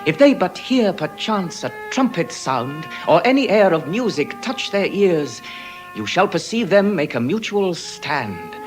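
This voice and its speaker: Eloquent young lover, Shakespearean verse, declamatory, animated pitch, music underneath. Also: male voice, rough recording. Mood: rapturous. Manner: lyrical, declamatory, measured. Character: Lorenzo, romantic eloquent young gentleman lover. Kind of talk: classical Shakespearean stage acting.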